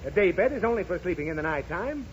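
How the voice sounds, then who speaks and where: A man's voice: sits high, animated, quick pace. An actor playing an irritable American father in a 1930s radio comedy, grumbling and explaining things with huffy sarcasm. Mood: grumpy, impatient. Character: exasperated, grumbling father of a pestering little girl. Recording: dull, noisy 1930s radio recording.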